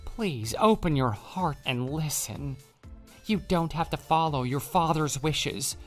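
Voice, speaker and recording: A man's voice: on the high side, expressive, takes it slowly. A man acting as a lovesick young office worker in an audio drama, pushy and pleading. His delivery is earnest and insistent, with music playing underneath. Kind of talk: scripted radio drama dialogue. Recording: music bed behind the voice.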